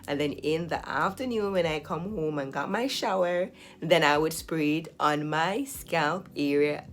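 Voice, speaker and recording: Low-voiced woman, slightly hoarse, chatty. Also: a little hiss or hum. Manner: chatty, explaining step by step. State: slightly hoarse, recovering from flu. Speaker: hair care vlogger. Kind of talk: casual tutorial talk to camera.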